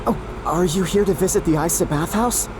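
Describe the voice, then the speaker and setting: An actor playing a polite, eager, flustered bathhouse boss in a video game, stammering and deferential. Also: male voice, high voice, moderate pace, background noise, close to an everyday voice. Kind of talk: video game character acting.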